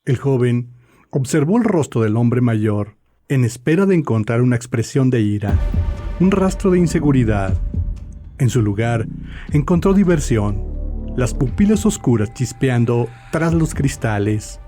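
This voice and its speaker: A man's voice: medium pitch, very animated, unhurried, mellow. A man narrating a literary audio drama in a scripted, storytelling style. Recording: music behind the voice.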